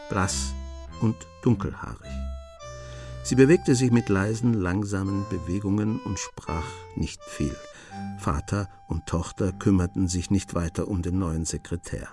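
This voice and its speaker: A man narrating a scripted radio drama in a measured, storytelling manner. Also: lowish voice, warm tone.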